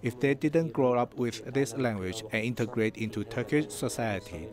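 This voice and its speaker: A man's voice: medium pitch, lively intonation, normal speed. A man reading dubbed translations of interview answers in a plain, earnest way. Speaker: voice-over translator.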